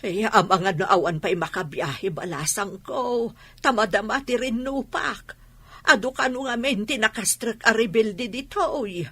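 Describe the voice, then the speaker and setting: A woman playing a worried, fretting village mother in a radio drama, with a husky voice. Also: on the high side, a little slow, fairly bright tone, no echo.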